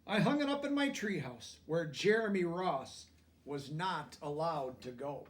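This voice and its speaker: High-voiced man reading a children's story. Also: animated, measured pace, recorded from a distance. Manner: explains asides simply to young listeners. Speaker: storybook reader. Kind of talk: children's picture book read-aloud, expressive narration.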